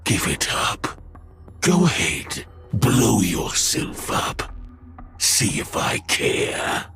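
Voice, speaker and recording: An actor playing a menacing machine villain in a video game, mocking and condescending toward humans. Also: male voice, fairly low pitch, huge pitch swings, extremely slow, vocal fry, a bit bright, soft background music, exaggerated voice. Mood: taunting menace. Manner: taunting, condescending. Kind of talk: dramatic video game voice acting.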